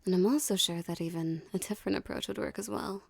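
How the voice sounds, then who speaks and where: A woman's voice: medium pitch, fairly even intonation, dry recording. A woman playing a dominant, teasing mafia boss in an ASMR roleplay, speaking with cool, condescending flirtation. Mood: flirtatious, amused. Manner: smooth menacing flirtation, condescending, unhurried. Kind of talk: ASMR roleplay, scripted character acting.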